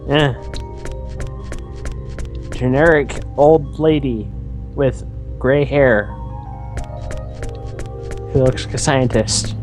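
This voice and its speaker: Slow-paced playful game commentator. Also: medium pitch, a little mellow, soft background music. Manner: playful, teasing, repetitive riffing. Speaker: let's play commentator. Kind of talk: casual joking game commentary.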